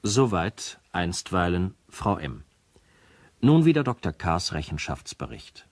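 Tiefer, förmlicher Hörspielsprecher. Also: male voice, deep voice, big pitch swings, slow, no room sound. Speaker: announcer linking documents. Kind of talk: scripted radio play narration.

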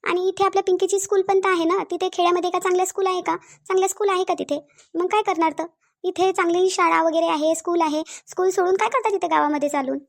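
A woman plays an indignant city wife and mother with a high voice and a Marathi accent of Vidarbha. This cartoon figure is bossy and stubborn, and she argues by firing repeated questions. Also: steady tone, fast talker, vocal fry. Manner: insistent, argumentative, repeats questions. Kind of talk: cartoon dialogue.

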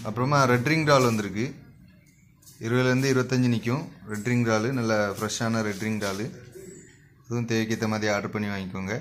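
A man with a Kanyakumari Tamil accent, a fish shop owner running through the day's catch in a brisk, repetitive vendor's listing, filmed on a handheld phone at an indoor market stall. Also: medium pitch, slight background noise. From Kanyakumari district, South India. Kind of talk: spoken product rundown for a shop channel.

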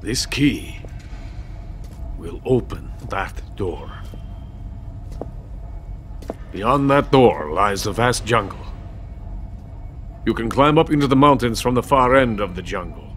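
An actor playing a bitter, proud, drunken older Soviet weapons scientist in a video game, with a brooding, theatrical delivery. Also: male voice, on the low side, expressive, measured pace, a bit bright, a little hiss or hum. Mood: instructive. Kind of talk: dramatic video game cutscene acting. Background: music.

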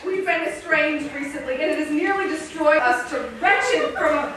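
High-voiced woman acting theatrical anxious mother, stage comedy, distant echoing recording. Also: normal speed, background noise, close to an everyday voice. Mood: earnest. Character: melodramatic, anxious wife and mother of a troubled family. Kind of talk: improvised staged comedy reading. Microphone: far camera.

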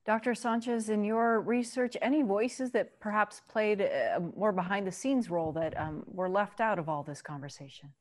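A woman moderating a panel over a video call, asking brisk questions. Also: mid-range voice.

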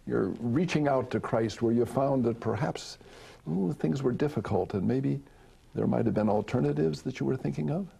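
Older male priest host, genial and chatty; gravelly, husky voice. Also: medium pitch, moderate pace, dark tone. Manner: genial, chatty, laughs easily. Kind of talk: television interview. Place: studio set, indoors.

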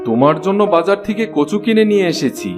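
Greedy, grumbling merchant, highish voice. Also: male voice, sing-song, fast, music in the background. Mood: impatient. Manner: grumbling, impatient. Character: greedy, scheming, jealous village merchant. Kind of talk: Bengali fairy-tale cartoon voice acting.

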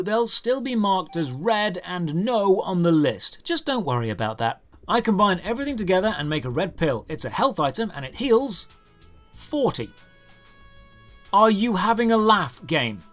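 Male British game reviewer, high-set voice, sardonic and emphatic, scripted narration over music. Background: background music.

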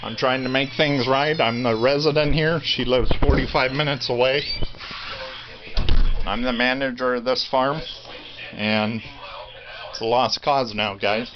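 A man's voice: medium pitch, steady tone, measured pace, pauses often, background noise. An American man in his fifties giving a casual, rambling, matter-of-fact walk-through commentary into a handheld camera. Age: 50s. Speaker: vlogger, farm resident. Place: indoors, cluttered concrete basement. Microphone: handheld camera at arm's length. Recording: dull lo-fi camera audio, loud background sound. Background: loud continuous radio playing.

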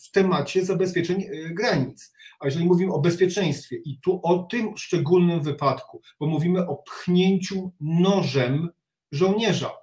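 A middle-aged man giving blunt, halting commentary as an interview guest on a video call from a home study. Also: sits high, a little mellow. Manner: halting, with repeated words and blunt criticism. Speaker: interview guest, reserve army officer. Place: home study with bookshelves, indoors. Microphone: video call, sitting back from the webcam. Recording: roomy, echoing video call.

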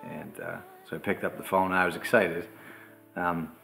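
A man in his twenties telling a personal story in a halting, emotional way, with many restarts, in a living room. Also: low-pitched, sing-song, creaky, over music. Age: 20s. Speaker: grieving brother. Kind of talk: personal testimony.

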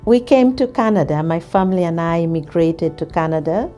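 A middle-aged woman from Uganda speaks to camera in a low, mellow voice. Her delivery is measured and thoughtful, broken by frequent pauses and restarts. Soft music sits quietly behind her. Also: expressive. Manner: measured, thoughtful, with many hesitations. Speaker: ESL teacher. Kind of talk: interview to camera. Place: indoor studio, plain grey backdrop.

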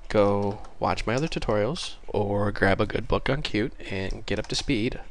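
A man with a congested voice from a cold, casually talking through a coding tutorial while typing, chatty and easily excited. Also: on the low side, normal speed, a little hiss or hum. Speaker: programming tutorial host.

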